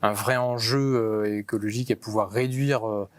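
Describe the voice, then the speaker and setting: A man's voice: lowish voice, steady tone, normal speed. A man asking questions as an interviewer in an informal, attentive and somewhat hesitant way.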